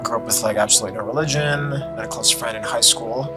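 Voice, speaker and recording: Fast-talking American man over loud guitar music. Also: mid-range voice. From Philadelphia, United States. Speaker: podcast guest. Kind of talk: casual personal storytelling.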